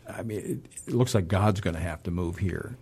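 A man hosting a radio interview, talking in a halting way with many pauses and restarts. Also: low voice, dark tone, breathy edge. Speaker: radio host.

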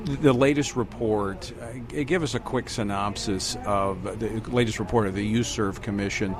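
A man's voice: lowish voice, normal speed, noisy background. A man hosting a news interview, who often stumbles and repeats words as he frames his questions. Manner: hesitant, repeats words while framing questions. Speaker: news host.

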